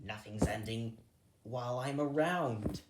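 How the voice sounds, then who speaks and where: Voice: mid-range voice, measured pace, reverberant, slight background noise, natural voice. A reader voicing a big, brave, bossy rabbit in a storybook, blunt and commanding. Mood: determined. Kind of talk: storybook character voice.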